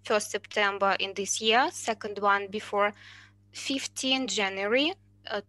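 A woman in her thirties fields questions in an online university webinar through a headset mic. She talks in a bright, forceful voice at a middle pitch. Her English is careful and a little halting. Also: no echo, poor audio. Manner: careful, slightly halting non-native English. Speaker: university admissions presenter. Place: indoors with a virtual background. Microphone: headset on a video call.

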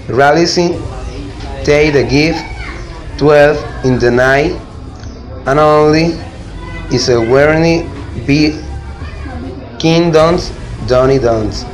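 A young man, a native Spanish speaker, haltingly reading out a scripted storybook narration. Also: fairly high pitch, low-quality recording. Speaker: narrator of a school play.

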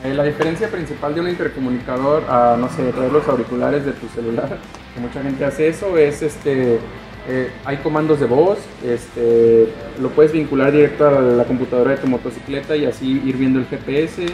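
Young man, Mexican Spanish accent, casual sales talk, echoey room, clear background music. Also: mid-range voice, fairly even intonation, normal speed, dark tone. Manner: casual, many "este" fillers. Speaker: sales adviser. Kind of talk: product explanation. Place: motorcycle gear shop, indoors.

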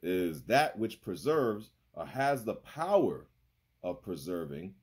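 A man in his thirties gives a relaxed sermon into a phone in a small room. His voice sits very low with a husky edge, and he talks conversationally, checking in with "right?" after nearly every point. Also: takes it slowly. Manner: conversational, laughs often, keeps asking "right?". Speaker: preacher. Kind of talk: casual sermon over a video call. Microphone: phone held close.

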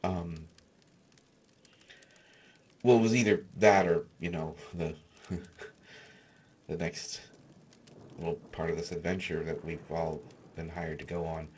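A man playing a gentle, awkward human artificer in a fantasy audio roleplay, stammering and hesitant as he speaks. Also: low voice, fairly even intonation, takes it slowly, long pauses, a little hiss or hum. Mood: awkward, nervous. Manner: stammering, hesitant, soft-spoken reassurance.

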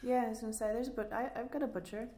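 A woman's voice: a little high, steady tone, very fast, warm tone. A woman co-hosting a podcast, chatting casually and giving show announcements. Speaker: podcast co-host.

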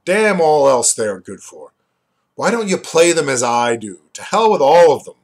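A man's voice: on the high side, sing-song, moderate pace, voice pushed up. A man reading a novel aloud as a brash, theatrical young man, bombastic and jeering. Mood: boisterous, mocking.

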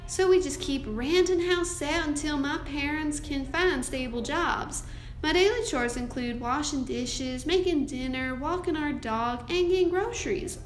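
A woman's voice: high voice, slight background noise. A young woman playing an earnest, hopeful thirteen-year-old frontier girl in a recited storytelling monologue. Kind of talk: scripted first-person monologue. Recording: roomy, echoing amateur recording.

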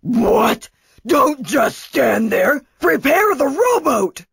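A loud Tiki tyrant from a children's story , acted out by a woman. He barks and taunts in a raised, swooping voice, with a short temper and a dry, close sound. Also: high voice, big pitch swings, very slow, vocal fry, dry recording, pitched above the performer's own voice, full character voice. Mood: alarmed, commanding. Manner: shouting, taunting. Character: bossy, loud tyrant male Tiki chief, easily enraged.

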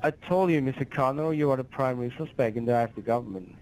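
A man's voice: mid-range voice, fast, a little mellow, a little hiss or hum. A man working a scam on a phone call, talking in a pushy, formal way. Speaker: phone scammer.

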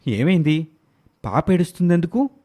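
A man's voice: a little high, sing-song, moderate pace, mellow. Man acting a caring dad, moral story, earnest, pleading, very varied intonation. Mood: concerned.

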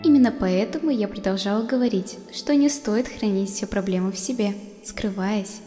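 A woman voicing the gentle, wistful ghost of a motherly monster in a comic dub, speaking tenderly. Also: mid-range voice, normal speed, close to an everyday voice. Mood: caring, earnest. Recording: long echoing reverb under music.

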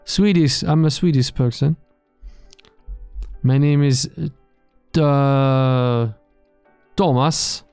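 A man in his thirties streaming from a small gaming room into a boom mic, chatting casually with his viewers, joking and swearing freely. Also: steady tone, extremely slow, pauses often, a little mellow, clear, resonant tone, no room sound, soft background music. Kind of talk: casual chat reading live chat. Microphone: studio mic on boom arm, headphones.

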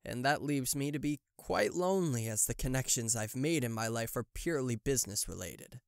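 A man's voice: mid-range voice, animated, a little fast, gravelly, clear, resonant tone, dry recording, studio quality. A man voicing a possessive, smug TV-headed demon CEO in a theatrical, condescending yandere roleplay spoken to the listener. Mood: wheedling, confiding. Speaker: voice actor.

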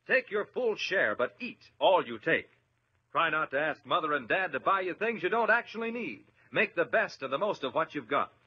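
A man's voice: on the high side, husky. A man giving an avuncular, instructive scripted address to children in the style of a vintage radio announcer. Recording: muffled, faintly noisy old radio recording.

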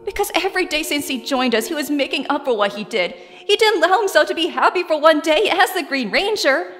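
Young heroine played by a woman, high voice, pleading, emphatic, long reverb, faint music. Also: animated, fairly quick, a bit bright. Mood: pleading, earnest. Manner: impassioned, emphatic. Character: earnest young heroine pleading for a loved one. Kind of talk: superhero audio drama acting. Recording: reverberant hall effect.

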